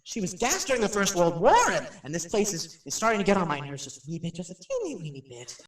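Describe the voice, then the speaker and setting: Jittery, high-voiced radio host. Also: male voice, sing-song, fast talker. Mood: nervous chatter. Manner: jittery, stammering, rambling, self-interrupting. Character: nervous chatty male radio personality, rattled in haunted house. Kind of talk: radio drama acting, rambling live monologue. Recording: roomy, distant microphone.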